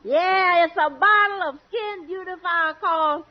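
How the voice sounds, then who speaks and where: Very high-pitched, jolly cackling housemaid. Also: female voice, measured pace. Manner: exuberant, whooping high laughter. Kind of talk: 1940s radio comedy acting. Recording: dull vintage radio recording, studio audience.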